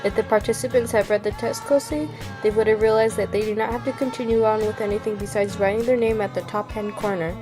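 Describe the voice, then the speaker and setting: A woman's voice: medium pitch, fairly even intonation, fast talker, music in the background. A young woman plainly reading out the steps of a class experiment. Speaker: student presenter. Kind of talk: reading a narration script.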